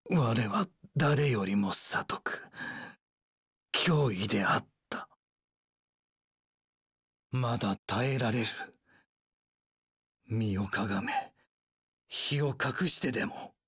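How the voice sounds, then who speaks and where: An actor voicing a cold, haughty warlord strategist in a video game, with archaic, imperious, measured speech. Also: male voice, medium pitch, expressive, a bit bright, slightly rough, dry recording, studio quality, full character voice. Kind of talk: video game character voice lines.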